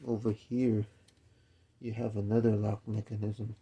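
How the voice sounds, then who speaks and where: A man's voice: fairly low pitch, level tone, a little slow, mellow, husky. A man casually walking through an unboxing of a speaker stand, explaining each part as he goes and correcting himself along the way. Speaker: DJ reviewing gear.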